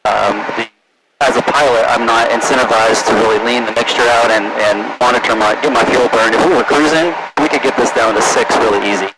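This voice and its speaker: Man chatting over loud cockpit drone. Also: medium pitch, steady tone, fairly quick. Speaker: private pilot narrating his flight. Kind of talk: casual cockpit chat, explaining flying. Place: small propeller plane cockpit in flight. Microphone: aviation headset through intercom. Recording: loud engine drone, aviation headset intercom. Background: loud engine noise, radio traffic.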